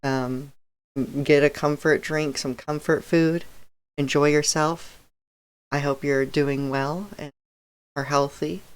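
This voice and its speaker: A woman streaming a game and chatting casually to her viewers, her throat a bit dry and raspy, talking in a relaxed, rambling way with soft laughs. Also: low-pitched, slow pace. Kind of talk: casual live-stream chat.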